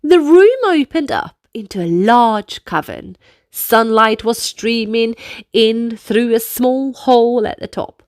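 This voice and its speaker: A woman reads a picture book to young children in a high voice with big, expressive swings. She takes her time, addressing the listeners directly as she narrates and prompts movement. The sound is close and dry. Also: takes it slowly, no echo. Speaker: children's storybook reader.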